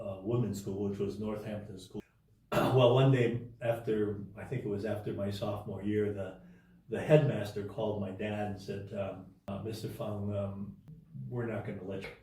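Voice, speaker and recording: A man telling his life story as an interview guest in a casual, halting way, with many restarts and fillers. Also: on the low side, little pitch movement, dark tone, heavy reverb, distant mic, poor audio.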